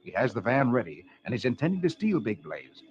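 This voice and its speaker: A man's voice: medium pitch, big pitch swings, a little fast, darkish tone. An American man hosting and narrating comic-strip stories in 1940s children's radio style. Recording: muffled, noisy vintage radio recording.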